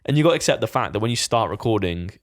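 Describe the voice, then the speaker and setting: A man talks fast on a podcast, his voice sitting on the low side. He chats casually and rambles, dropping swear words as he goes. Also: expressive. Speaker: podcast co-host, fitness coach.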